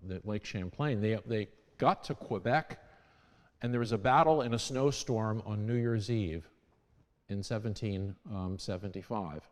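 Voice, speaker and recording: A man giving an informal history talk to a congregation in a church, talking conversationally and correcting himself as he goes. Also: lowish voice. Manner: conversational, with restarts and self-corrections. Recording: long church reverb.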